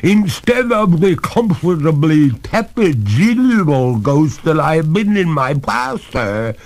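A man's voice: high-pitched, very animated, very slow, darkish tone, pitched above the performer's own voice, heavily acted. A man voicing a genteel, haughty lady water ghost in a storybook, pleading formally and melodramatically in despair. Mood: threatening.

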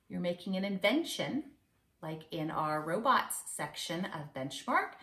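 A woman's voice: mid-range voice, sharp tone. A woman in her forties speaking as a teacher, explaining things simply and instructively to young children. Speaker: teacher reading to pupils. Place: living room at home. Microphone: camera a few feet away. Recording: roomy home recording, slightly distant camera.

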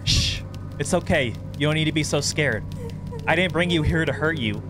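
A man voicing a possessive, softly threatening psychopath of thirty from a horror game, coaxing and sinister. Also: a little high, moderate pace, over music, lightly acted. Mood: coaxing, accusing. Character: menacing thirty-year-old male psychopath, possessive, calmly sinister. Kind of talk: reading horror game dialogue aloud.

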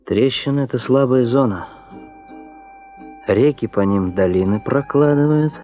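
Male actor as intense young geologist, slow clipped musing, 1970s radio drama. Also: medium pitch, darkish tone, highs cut off, lightly acted. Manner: terse, musing in short bursts. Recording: 1970s radio play with orchestral music. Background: orchestral music.

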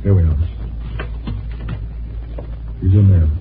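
Clipped, muffled radio doctor. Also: male voice, sits low, steady tone, takes it slowly. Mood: grave, clinical. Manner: clipped, clinical. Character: matter-of-fact male hospital doctor, grimly pitying. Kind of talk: 1950s radio drama acting. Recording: muffled, hissy 1950s radio recording.

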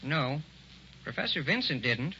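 A man's voice: a little high, big pitch swings, a little slow, sharp tone, heavily acted. An actor playing a meek, matter-of-fact little man with ominous news, hesitant, in old-time radio horror. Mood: cautious. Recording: noisy muffled vintage radio recording.

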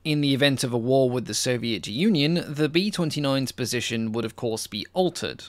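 A young man hosting a history channel Q&A, explaining military aviation in a measured, informative way with casual asides. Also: mid-range voice, animated, dry recording.

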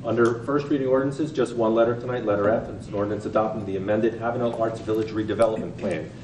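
A man chairing a council meeting, reading procedural motions in a brisk, routine way, recorded in a large council chamber. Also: lowish voice, fairly even intonation, darkish tone. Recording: echoey chamber, far mic, background noise.

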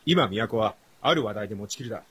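Cocky, husky young drifter. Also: male voice, lowish voice, expressive, fairly quick, fairly bright tone, heavily acted. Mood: teasing. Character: breezy, cocky, free-spirited young male drifter. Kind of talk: Japanese radio drama acting.

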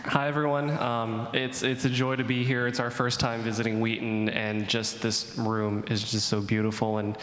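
A young man from Indonesia giving a friendly, relaxed testimony talk on a chapel stage into a handheld mic. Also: medium pitch, moderate pace, hardly any pauses. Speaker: chapel speaker. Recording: echoey hall with long reverb.

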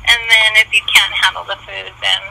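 A woman working at a fast food place, talking casually on a phone call heard over a speaker. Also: medium pitch, little pitch movement, vocal fry, sharp tone, slight background noise.

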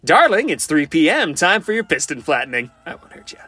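A man's voice: on the high side, sing-song, fairly quick, sharp tone, breathy edge, full character voice. A man voicing a cocky, flirty, goofy wisecracking survivor for fan-made video game lines, in a playful, theatrical, quippy manner. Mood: flirty, teasing. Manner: playful, theatrical, quippy, often sing-song.

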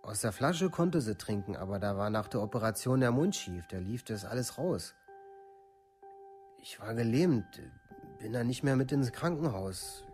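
An actor playing a troubled village youth from a far-right scene in a radio play, speaking colloquially in short clipped phrases. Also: male voice, medium pitch, expressive, moderate pace, a little mellow, soft background music, natural voice. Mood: subdued, confiding. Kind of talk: documentary radio play monologue.

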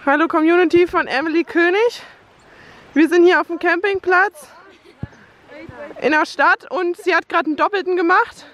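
Young woman, very high pitch, playful mock presenter, outdoor campsite, phone mic. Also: moderate pace, pauses often, fairly bright tone. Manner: jokey, playful tour-guide tone. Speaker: friend filming.

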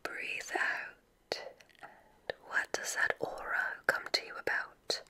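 A woman performs an ASMR roleplay entirely in a soft whisper. She plays a kindly, formal witch examiner at a magic school. Her delivery is very slow and soothing, with gentle instructions and unhurried pauses.

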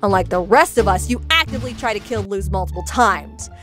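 A woman's voice: medium pitch, expressive, fairly quick, over music, lightly acted. A woman voicing an earnest, defensive teenage witch girl in a comic dub, speaking in an articulate, emphatic way. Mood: indignant, accusing. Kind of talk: comic dub character acting.

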